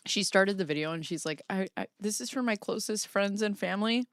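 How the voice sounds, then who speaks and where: A woman's voice: medium pitch, brisk, clear, resonant tone, no echo, studio quality. A woman co-hosting a podcast, reacting to a clip with wry running gags. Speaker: podcast co-host. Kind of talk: casual reaction commentary.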